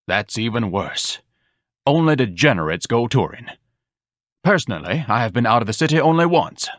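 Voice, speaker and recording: A man's voice: mid-range voice, very animated, moderate pace, fairly bright tone, dry recording, studio quality. A man voicing a pompous, self-pitying fat young man who speaks in lofty, grandiloquent phrases. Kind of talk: audiobook dialogue.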